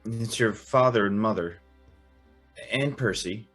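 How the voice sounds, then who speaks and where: Male actor as young man, radio drama, wistful, earnest, measured, halting, slow delivery. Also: lowish voice, fairly bright tone, faint music behind. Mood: tense, watchful. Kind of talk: radio drama acting and narration.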